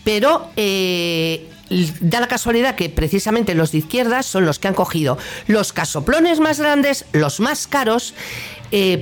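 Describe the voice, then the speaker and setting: Female radio host, Castilian Spanish accent, mid-pitched voice; indignant, sarcastic, colloquial political commentary. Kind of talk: political opinion monologue.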